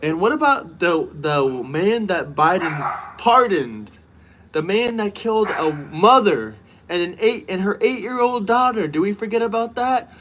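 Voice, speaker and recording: Live streamer from the United States, man, higher-pitched voice, brash and repetitive, casual chat. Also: expressive, measured pace, fairly bright tone, slight background noise.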